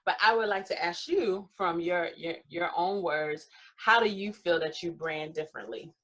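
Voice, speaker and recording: A woman's voice: on the low side, normal speed, a bit bright. A woman hosting an interview show, chatting casually, with many fillers and short affirmations. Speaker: podcast host.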